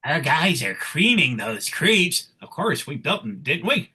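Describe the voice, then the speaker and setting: Squeaky, raspy little elf henchman. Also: measured pace, heavily acted. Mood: gleeful. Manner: excitable, wisecracking. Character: eager, scrappy little elf henchman of Santa. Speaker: performer in a dramatic comic book table read.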